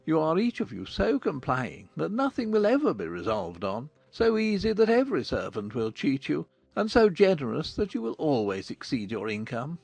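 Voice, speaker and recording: A man performs an older gentleman father from a period audiobook, fond and dryly teasing in a measured way. His voice is creaky and fairly high for a man. Music plays behind the dry recording. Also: huge pitch swings, no room sound, heavily acted. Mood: fond, wry. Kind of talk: dramatized audiobook acting of a period novel.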